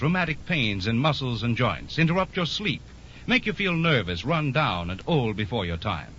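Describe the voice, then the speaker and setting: A man's voice: mid-range voice, a bit bright. A man reading a scripted 1940s radio commercial as an announcer, with an emphatic, polished sales delivery. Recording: muffled 1940s radio recording, faint noise.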